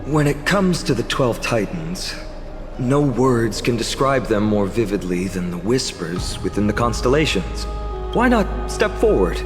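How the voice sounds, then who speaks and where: An actor playing a calm, eloquent male hero in a fantasy game, speaking in measured, theatrical storytelling. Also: mid-range voice. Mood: inviting. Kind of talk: scripted game dialogue. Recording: long hall reverb, music behind. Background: music.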